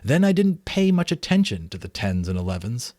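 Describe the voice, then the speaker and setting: A man voicing a gentle, shy father who earnestly recalls his childhood in an audiobook. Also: mid-range voice, very animated, brisk, dry recording, clean studio sound. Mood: fond reminiscing. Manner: earnest, reminiscing.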